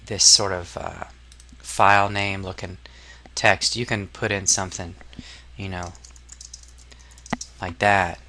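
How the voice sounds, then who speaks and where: A man narrating a casual software tutorial as an instructor, explaining step by step in an informal, somewhat hesitant way. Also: low-pitched, little pitch movement, takes it slowly, noisy background.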